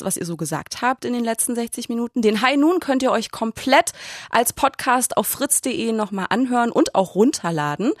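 A woman's voice: mid-range voice, dry recording. A woman hosting a radio phone-in, talking casually into a close studio microphone. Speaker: radio host of a phone-in show. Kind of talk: casual talk radio moderation.

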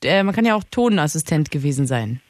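A woman's voice: low-pitched, big pitch swings, no echo. Woman, radio host, teasing, bantering, dry close studio mic. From Saxony, Germany. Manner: teasing, quick-witted, bantering. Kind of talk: call-in radio chat.

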